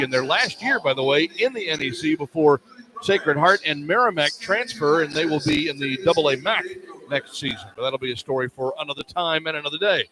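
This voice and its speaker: A man's voice: a little high, rough recording. A man working as a play-by-play sportscaster, giving live pre-game commentary on sports radio. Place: courtside in a sports arena. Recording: crowd noise of an arena. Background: arena crowd.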